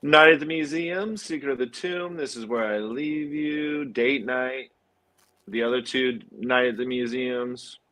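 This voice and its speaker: A man hosting a film podcast in a casual, halting way, thinking aloud as he goes. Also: medium pitch, a bit bright.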